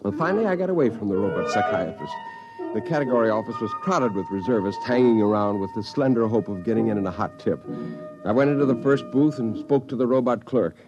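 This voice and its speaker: A man's voice: medium pitch, expressive, fast talker, dull audio, lightly acted. An actor playing an exasperated, wry unemployed man who also narrates, in a 1950s science fiction radio drama. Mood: wry narrating. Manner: agitated, wry. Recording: old radio recording with music behind.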